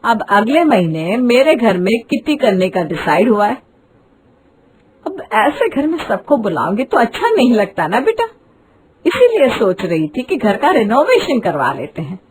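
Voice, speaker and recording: Woman voicing a haughty, showy mother-in-law, high-pitched. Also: big pitch swings, measured pace, husky, exaggerated voice, low-quality recording. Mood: persuasive. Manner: haughty, dramatic, wheedling. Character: showy, boastful middle-aged Indian mother-in-law. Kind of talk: Hindi cartoon moral story voice.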